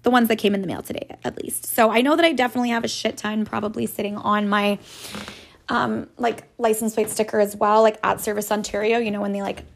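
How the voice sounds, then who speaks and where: A woman hosting a casual solo podcast, her voice raspy and hoarse from just waking up, rambling along with frequent laughs and casual swearing. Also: mid-range voice, steady tone, quick pace. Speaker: podcast host.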